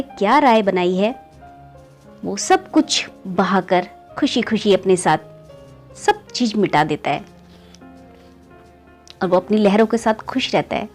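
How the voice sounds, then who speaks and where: Woman telling a moral story to friends. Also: a little mellow. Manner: keeps calling listeners "friends", pitch rising on story beats. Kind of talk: conversational moral story narration.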